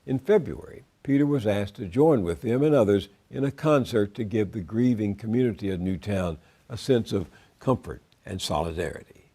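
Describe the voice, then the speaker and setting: An older man narrates a TV segment in a calm, measured way. His voice is low, mellow and a little husky. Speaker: TV host narrator. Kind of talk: scripted narration.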